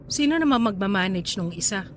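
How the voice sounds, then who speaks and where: A woman's voice: medium pitch, animated, a little fast, soft background music, lightly acted. An actress playing an anxious, evasive woman in a radio drama, answering hesitantly and deflecting questions. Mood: guarded. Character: evasive, anxious woman hiding pregnancy from partner. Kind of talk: Tagalog radio drama acting.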